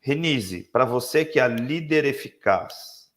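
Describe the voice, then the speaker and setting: A man with a Brazilian Portuguese accent, hoarse, talking reflectively about leadership on a live webinar with many tag questions. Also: mid-range voice, animated, unhurried, a bit bright. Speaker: leadership speaker.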